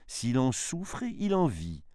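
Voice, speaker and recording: A man giving a dramatic, theatrical poetry recitation in an impassioned, declamatory manner, with emphatic swells and hushed drops. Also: medium pitch, wildly swooping pitch, slow pace, dry recording, clean studio sound.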